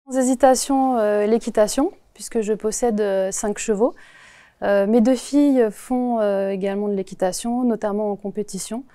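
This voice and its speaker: A woman in her forties from the Paris region answers interview questions. She hesitates often and fills the pauses. Her voice is mellow and warm, recorded close and dry. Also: medium pitch, dry recording. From Paris region, France. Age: 40s. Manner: hesitant, many filler pauses. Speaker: postal worker, interview guest.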